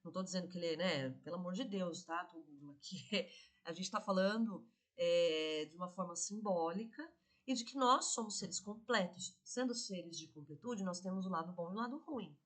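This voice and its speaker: A woman with a cold, hoarse, hosting a spirituality reaction video and explaining slowly, checking in with her viewers. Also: on the low side, fairly bright tone. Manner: slow, explanatory, often checks in with "tá?".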